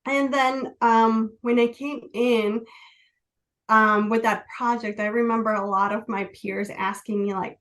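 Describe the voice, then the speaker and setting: A woman in her forties from Chicago, a native Spanish speaker, telling personal stories as a podcast guest in an earnest, halting way with many fillers. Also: on the high side, steady tone, fairly bright tone. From Chicago, USA. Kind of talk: casual interview, personal storytelling.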